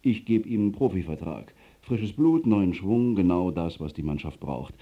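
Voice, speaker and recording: A slick club boss in a radio drama. He has a low voice and a smooth-talking, pushy, businesslike way of giving orders. The recording is slightly dull. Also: very animated, moderate pace, full character voice.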